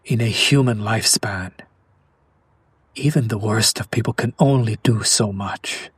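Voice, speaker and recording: A man playing a centuries-old noble vampire in an intimate audio roleplay, formal and theatrical, tormented and romantic, with hushed, dramatic pauses. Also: lowish voice, slightly rough, no room sound. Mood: brooding. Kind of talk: intimate audio roleplay monologue addressed to the listener.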